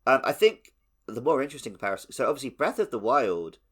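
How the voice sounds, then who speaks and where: A man's voice: medium pitch, sing-song, quick pace, fairly bright tone, husky. A man co-hosting a podcast, talking casually and descriptively about video games. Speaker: podcast host. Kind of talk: casual video game discussion.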